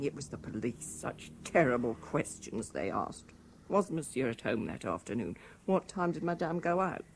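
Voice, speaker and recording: An actor playing a deferential, plaintive household servant in a 1970s radio drama, gossipy and sympathetic, on an old radio recording. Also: male voice, on the high side, creaky, a little hiss or hum.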